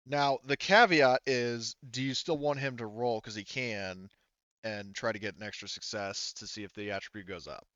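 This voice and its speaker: A man casually running a tabletop roleplaying session as game master, halting and thinking aloud as he goes. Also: lowish voice, fairly bright tone, clean tone, no echo, highs cut off.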